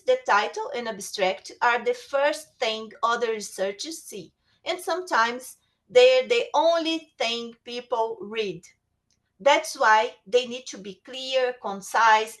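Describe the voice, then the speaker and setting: A woman whose first language is Portuguese, presenting a webinar lecture on writing tools, reading prepared text with small restarts. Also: on the high side. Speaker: webinar speaker.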